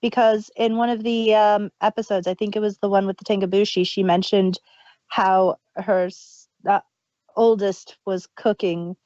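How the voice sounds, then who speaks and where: A woman's voice: mid-range voice, flat delivery, normal speed, mellow, no room sound, muffled recording. A woman co-hosting a casual review chat, recounting story details at length. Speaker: podcast co-host.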